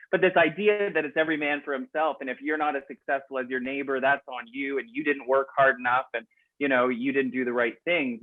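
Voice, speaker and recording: A man's voice: medium pitch, no room sound. A man speaking as a panelist, a former radio host and comedian, who talks thoughtfully with some self-deprecation as he searches for words over a video call. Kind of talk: panel discussion. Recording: tinny phone-like video call audio.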